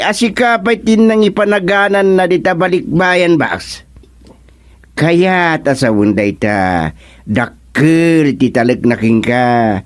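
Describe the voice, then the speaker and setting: A man acting an easygoing village chairman in an Ilocano radio comedy drama, with drawn-out interjections and hums. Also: high voice. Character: easygoing male village chairman who advises and reassures.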